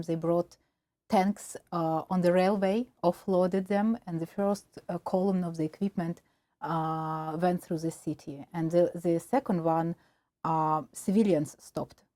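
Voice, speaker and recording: Ukrainian woman guest, lowish voice, halting interview answers full of restarts. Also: steady tone, dark tone, dry recording. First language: Russian. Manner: halting, many fillers and restarts. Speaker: film producer and guest.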